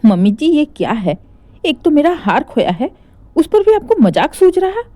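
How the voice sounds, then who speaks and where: A woman's voice: high voice, sing-song, moderate pace, mellow, dry recording, voice pushed up. A woman voicing a stubborn, aggrieved young daughter-in-law, insistent and accusing, in a family story. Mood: indignant.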